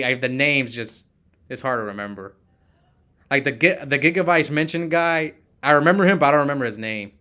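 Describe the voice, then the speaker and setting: A man streams an online card game with a bright, crisp-edged voice. He thinks aloud about his moves in a casual way, restarting phrases and chatting to the people watching. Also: medium pitch. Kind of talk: casual live game commentary, chatting with viewers.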